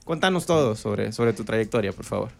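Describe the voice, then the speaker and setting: A man's voice: lowish voice, animated, a little fast, creaky, darkish tone. A man with a Central American Spanish accent, hosting an interview and asking questions in a casual, conversational way. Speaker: interview host.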